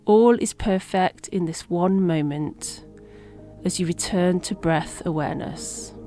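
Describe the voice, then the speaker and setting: A woman guiding a meditation in a gentle, unhurried manner, leaving long pauses between phrases. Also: fairly low pitch. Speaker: meditation guide. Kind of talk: guided meditation narration. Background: relaxing music.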